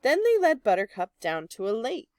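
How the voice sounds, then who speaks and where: Animated woman reading a children's story. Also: fairly high pitch, normal speed, no echo, studio quality. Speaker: storybook reader. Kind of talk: expressive children's read-aloud.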